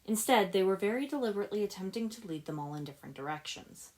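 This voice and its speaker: A woman's voice: medium pitch, expressive, fast, darkish tone. A woman narrating a story as a scripted reading, moving between narration and dialogue. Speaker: fan fiction narrator, podfic reader.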